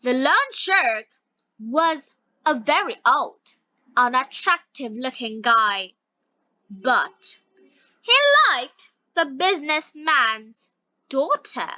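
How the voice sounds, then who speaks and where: A woman reading scripted story narration for language learners, word by word with frequent pauses. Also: high voice, animated, sharp tone, no room sound, muffled recording.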